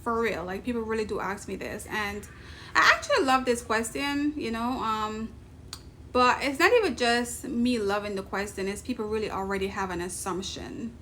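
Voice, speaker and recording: A woman's voice: fairly high pitch, moderate pace, pushed and bright, slight background noise. A woman from Jamaica with a Jamaican accent, chatting casually to camera in her vlog, often laughing and adding emphatic asides. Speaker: vlogger.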